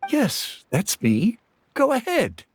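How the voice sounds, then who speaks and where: A man playing a kindly, welcoming village head in a video game, measured and considerate. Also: extremely high pitch, huge pitch swings, slight background noise, exaggerated voice. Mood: kindly, helpful. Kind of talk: video game cutscene acting.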